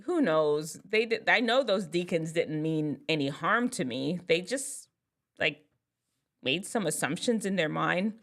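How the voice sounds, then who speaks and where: A woman from Jamaica chatting casually to camera, telling stories and laughing at herself, with big upward swoops when she gets excited. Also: fairly low pitch, unhurried. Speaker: vlogger.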